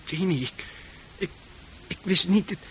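A man's voice: high-pitched, a little slow. An actor in a Dutch radio play playing a naive, apologetic young man who stammers and pleads. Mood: apologetic, pleading. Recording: hissy old radio play recording.

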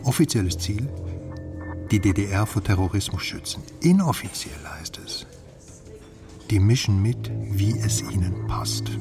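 An actor playing a wry, cautious journalist who talks in a grumbling, conspiratorial half-mutter in a radio crime drama. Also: male voice, fairly low pitch, expressive, a little slow, over music. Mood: confiding. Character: wry, weary Western journalist, adult man, cautious informant. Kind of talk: naturalistic modern radio crime drama acting.

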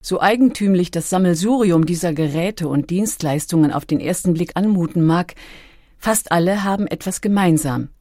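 A woman's voice: lowish voice, moderate pace, dark tone, no room sound, clean studio sound. A woman reading scripted documentary narration as the narrator.

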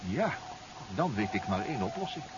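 A man's voice: medium pitch, big pitch swings, a little fast, natural voice. Guy acting as cocky submarine professor in old 70s radio play, reassuring, voice moves up and down a lot, hissy dull sound. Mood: assured. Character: confident, reassuring male professor and submarine inventor. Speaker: actor in a radio drama. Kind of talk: 1970s radio drama acting. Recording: muffled, noisy old 1970s radio recording.

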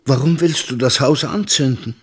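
An actor in a radio play voicing a pious, worried neighbour who admonishes his friend in a husky voice. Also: medium pitch, normal speed, natural voice. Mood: concerned, admonishing.

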